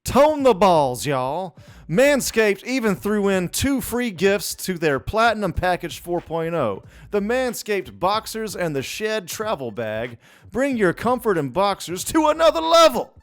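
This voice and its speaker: A man's voice: high voice, sing-song, a little slow. A man co-hosting a podcast in a colloquial, laid-back manner. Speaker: podcast co-host. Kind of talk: casual banter and ad reads.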